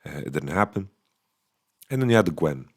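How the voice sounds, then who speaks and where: A man with a slightly hoarse voice, casually giving a solo esports recap from a small hotel room into a microphone, thinking aloud with many fillers. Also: low-pitched, very animated, brisk. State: voice going a bit hoarse. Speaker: esports analyst. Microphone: studio microphone.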